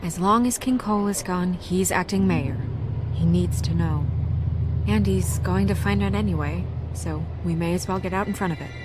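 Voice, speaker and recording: An actress playing a composed, dutiful woman official in a video game, measured, businesslike and firm. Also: on the low side, loud music behind the voice, natural voice. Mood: serious, measured. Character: composed, dutiful, practical woman official in dark fairy-tale town. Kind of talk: naturalistic video game cutscene acting.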